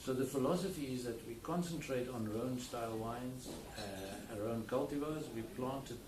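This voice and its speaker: A man with a South African accent talks through his family history and his wine in a relaxed, explanatory manner, filling pauses with "uh". His voice sits at a middle pitch. The mic is set back in an echoey room, with steady background noise under him. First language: Afrikaans. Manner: unhurried, explanatory, with many fillers. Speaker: wine estate owner. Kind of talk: casual interview. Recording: roomy, distant mic, clear background noise.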